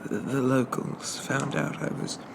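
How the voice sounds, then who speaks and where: A man playing a shy, polite undead zombie in a soft ASMR roleplay, stammering and hesitant in a gently formal way. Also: medium pitch, fairly even intonation, measured pace, creaky, very noisy. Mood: timid, reassuring.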